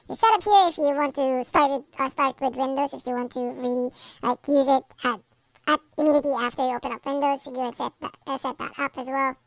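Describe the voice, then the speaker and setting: A woman with a high-pitched voice guides a software how-to. She goes through it step by step, repeating her phrasing. The recording is dry, with little room sound. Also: no room sound, low-quality recording. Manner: repetitive step-by-step instructing. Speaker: tutorial host. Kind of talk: screen-recorded software tutorial narration.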